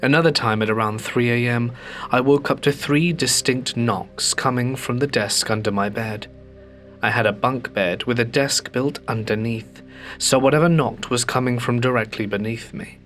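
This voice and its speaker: A man reads ghost stories aloud as the host of a storytelling channel. His voice sits at an ordinary middle pitch, and his delivery is calm and measured with slowed, dramatic pauses. Soft music plays faintly behind him.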